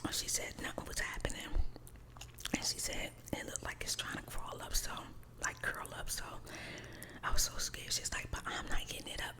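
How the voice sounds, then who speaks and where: A middle-aged woman whispers a homely, informal story straight to her listeners in an ASMR style. Her delivery is breathy and unvoiced, with colloquial phrasing and points repeated for emphasis. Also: normal speed.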